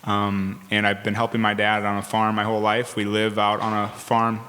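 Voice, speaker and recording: Young Midwestern man, easygoing, low but bright voice, in a reverberant hall. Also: completely flat delivery, moderate pace. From Minnesota, US. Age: 20s. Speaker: workshop participant. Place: community hall, live event.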